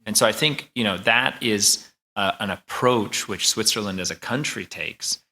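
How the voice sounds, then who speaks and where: American male panelist, low-pitched and bright-toned, relaxed conversational delivery. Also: normal speed. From United States. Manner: conversational, with occasional restarts. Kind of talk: panel discussion answer.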